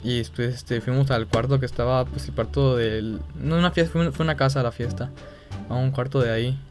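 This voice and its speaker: A man's voice: medium pitch, steady tone. Hesitant young Mexican man over music. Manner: hesitant, halting, feigning embarrassment. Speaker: gaming video creator making a prank call. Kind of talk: casual phone chat. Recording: music playing behind the voice.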